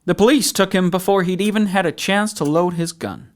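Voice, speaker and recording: A high-pitched male government aide played by a man in an audio drama. He is formally polite and fussy, an officious official who is easily flustered. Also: brisk, natural voice. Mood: dismissive. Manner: formal, polite, fussy, faltering when challenged. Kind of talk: fan-made audio drama acting.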